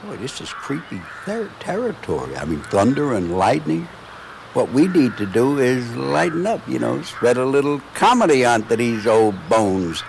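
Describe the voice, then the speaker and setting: A man's voice: medium pitch, very animated, moderate pace, gravelly. A man playing a theatrical, jokey horror host who delivers campy patter with hammy asides. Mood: jokey, uneasy. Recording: noisy, with animal and crow ambience.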